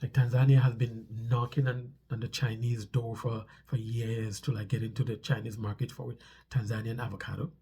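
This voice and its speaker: A man's voice: mid-range voice, little pitch movement, moderate pace, vocal fry, warm tone, husky. A man with a stuffy nose talks casually to viewers as a vlog host, explaining things conversationally and repeating phrases for emphasis.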